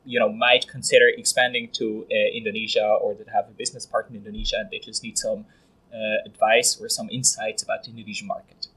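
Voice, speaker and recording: A young man from Switzerland with a fairly low, husky voice. He explains things in a casual, conversational way as an interview guest. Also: little pitch movement, quick pace, sharp tone, low-quality recording. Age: young adult. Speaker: podcast guest.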